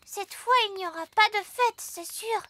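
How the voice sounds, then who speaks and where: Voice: extremely high pitch, moderate pace, pushed and bright. An actor voicing a sweet, curious little fairy princess in a French cartoon, innocent and inquisitive. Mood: earnest.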